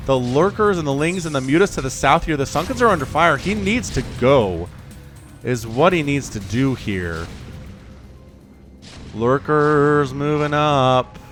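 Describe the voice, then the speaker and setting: Man, 30s, solo game caster, lively swooping pitch, excitable and chatty, faint music behind. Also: moderate pace. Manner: excitable, chatty, jokey asides. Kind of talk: solo esports play-by-play commentary.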